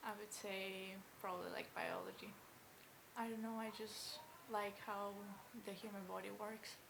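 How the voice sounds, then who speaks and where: An eighteen-year-old woman answers in a plain, slightly tentative way. Her delivery is very flat in pitch. Clear ambient noise runs behind her. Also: moderate pace. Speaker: school leaver interviewee. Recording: noisy background ambience.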